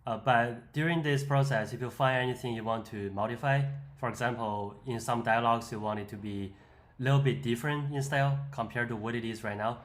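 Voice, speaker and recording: A man giving an explanatory, step-by-step voice-over for a software demonstration. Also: medium pitch, a little fast. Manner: step-by-step, instructional. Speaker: software tutorial presenter. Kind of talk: explanatory screen-demo voice-over.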